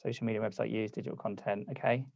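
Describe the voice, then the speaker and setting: A man's voice: fairly low pitch, steady tone, very fast, vocal fry, darkish tone, no room sound, highs cut off. A man hosting a live online workshop over a video call, casual and conversational, losing his voice. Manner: casual, conversational, reads chat aloud. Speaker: workshop host.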